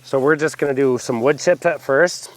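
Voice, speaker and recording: Hoarse man with a cold, vlogging outdoors. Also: mid-range voice, moderate pace. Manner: enthusiastic, explaining step by step. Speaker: homestead channel host. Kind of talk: casual gardening vlog. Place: backyard, outdoors.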